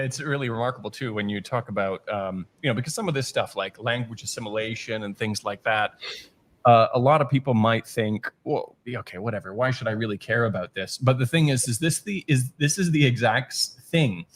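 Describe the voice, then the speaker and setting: A man with a medium-pitched voice talks as a commentator on a livestream panel. He is conversational yet argumentative, working through his point as he speaks. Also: a little fast, a bit bright.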